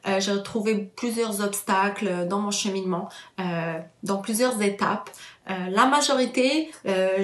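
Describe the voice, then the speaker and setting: A woman's voice: medium pitch, reverberant. A woman in her thirties, originally from Morocco, giving a personal testimony in an earnest way with many hesitations and fillers. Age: 30s. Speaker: interviewee.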